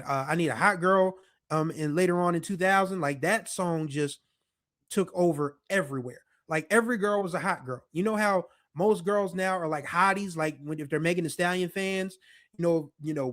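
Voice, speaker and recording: Chatty man in his forties, high pitch. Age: 40s. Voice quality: deeper voice. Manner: relaxed, rambling, with frequent fillers. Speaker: podcast host. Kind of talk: casual nostalgic monologue.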